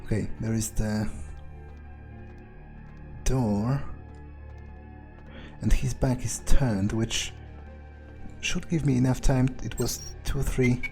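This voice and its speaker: A man thinking aloud in character as he plays a video game, muttering deliberately and swearing now and then. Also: medium pitch, steady tone, creaky, darkish tone, soft background music. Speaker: gamer doing an in-character playthrough.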